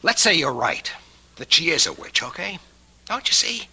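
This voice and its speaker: A man's voice: fairly high pitch, huge pitch swings, moderate pace, vocal fry, bright, forceful tone, breathy edge, full character voice. An actor playing an earnest, anxious man protecting the woman he loves, with urgent old-time radio drama delivery. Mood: nervous, pleading.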